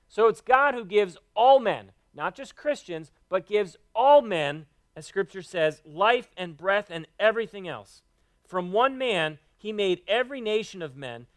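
A man's voice: high voice, fairly bright tone, no room sound. A man preaching a sermon, teaching earnestly and quoting scripture.

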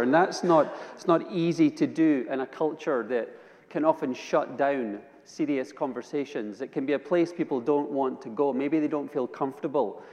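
A man's voice: fairly high pitch, darkish tone, highs cut off. A Scottish man giving a personal testimony sermon as a guest preacher, telling it conversationally with dry humor. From Edinburgh, Scotland. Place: large indoor church or hall. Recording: reverberant hall, long echo.

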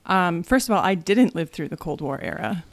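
A woman's voice: lowish voice, expressive, moderate pace, no echo. A young adult woman speaking casually and a little tentatively as a podcast guest. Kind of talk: casual, modest conversational talk.

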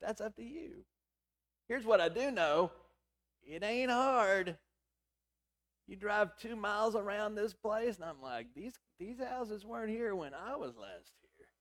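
A middle-aged man with a hoarse, raspy voice, preaching a sermon in a conversational, storytelling way with quoted dialogue. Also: sits high, expressive, unhurried. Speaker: pastor.

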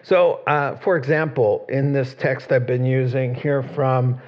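A man's voice: medium pitch, steady tone, measured pace, lo-fi sound. A male pastor preaching a sermon in a conversational way, citing scripture and joking with the congregation.

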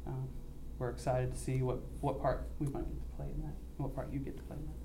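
A young man earnestly telling a church about a mission trip, halting often with ums and restarts. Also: mid-range voice, fairly even intonation, vocal fry, noisy background. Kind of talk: church mission report, casual.

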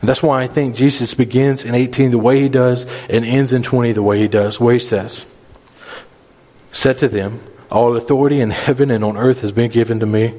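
A man's voice: mid-range voice, darkish tone. A man preaching a sermon, explaining scripture verse by verse in an earnest, instructive manner with repeated phrases for emphasis. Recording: reverberant hall.

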